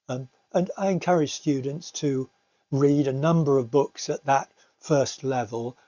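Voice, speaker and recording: A middle-aged man giving a slide presentation as a teacher, explaining in a measured, somewhat hesitant way. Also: medium pitch, dark tone. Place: recorded voice-over for slides. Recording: slightly dull, lo-fi recording.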